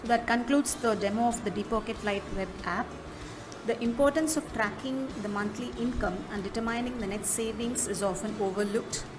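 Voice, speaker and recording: A woman presents a software walkthrough in an instructional manner, with halting phrasing. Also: fairly high pitch. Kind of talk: step-by-step app walkthrough narration. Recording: music behind the voice. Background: clearly audible music.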